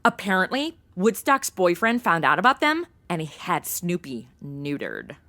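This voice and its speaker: Woman playing a sassy, animated wisecracking sidekick. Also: fairly low pitch, a little slow, full character voice. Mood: flippant, irreverent. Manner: flippant, sassy, deadpan wisecracks. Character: brash, irreverent modern female sidekick, flirty wisecracker. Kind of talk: comedy audio drama acting.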